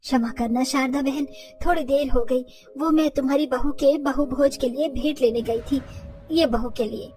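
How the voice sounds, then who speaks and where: A woman's voice: sits high, fairly even intonation, normal speed, lightly acted. A woman voicing a chatty, modest neighbourhood woman in a moral story, talking conversationally. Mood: apologetic.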